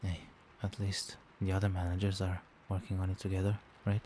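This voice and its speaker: A man playing a doting, protective vampire boyfriend in a soft-spoken, soothing and attentive ASMR roleplay. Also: deep voice, completely flat delivery, a little fast, a little hiss or hum, close to an everyday voice. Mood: tender, concerned. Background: rain.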